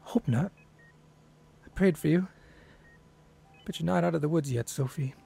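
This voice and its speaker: An actor playing a plain-spoken, weary husband in a radio drama. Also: male voice, fairly high pitch, moderate pace, vocal fry, mellow, slightly rough, heavily acted. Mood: tender, weary. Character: skeptical, weary husband, later softened and grateful.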